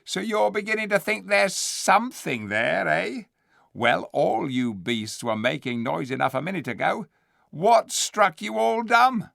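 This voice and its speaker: A man's voice: high voice, very animated, a little slow, vocal fry, fairly bright tone, dry recording, clean studio sound, pitched above the performer's own voice, heavily acted. A man voicing a sly, bullying male ape who mocks and jeers at a crowd of animals. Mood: jeering. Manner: mocking, sneering.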